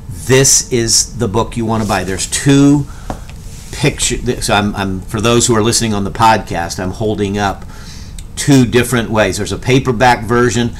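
Middle-aged guy pastor-teaching from his study, chatty, keeps saying okay?, faint rumble behind. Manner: conversational, animated hand gestures, keeps asking "okay?". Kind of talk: casual teaching talk. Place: home study with bookshelves and whiteboard.

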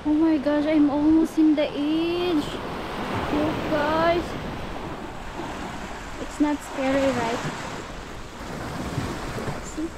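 A woman's voice: high-pitched, fairly even intonation, extremely slow, long pauses. A young woman from the Philippines, chatting directly to viewers as she walks along a beach with a handheld camera. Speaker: travel vlogger. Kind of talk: casual walking vlog. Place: outdoors on a beach. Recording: noisy outdoor beach audio. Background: waves and surf.

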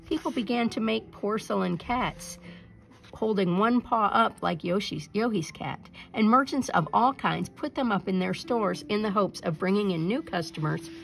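A woman reading a children's picture book aloud as a storyteller, in an expressive narrating style. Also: medium pitch, normal speed, creaky, rough recording.

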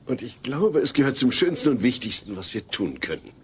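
An actor playing a gentle, patient middle-aged father in a German sitcom audio drama. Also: medium pitch, big pitch swings, a little fast, breathy edge, heavily acted. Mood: earnest, happy.

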